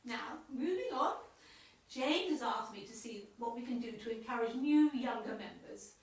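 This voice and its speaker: Bossy clipped committee chairwoman played by an amateur actress, radio comedy, highish voice, really echoey. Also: animated, moderate pace. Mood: brisk, officious. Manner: officious, clipped, schoolmistressy. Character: brisk, bossy woman chairing a village club. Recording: very echoey room, distant mic.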